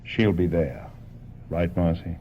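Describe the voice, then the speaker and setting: An actor in a radio drama playing a brisk, businesslike talent agent. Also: male voice, low-pitched, fairly even intonation. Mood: brisk, agreeable. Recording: dull, noisy old radio recording.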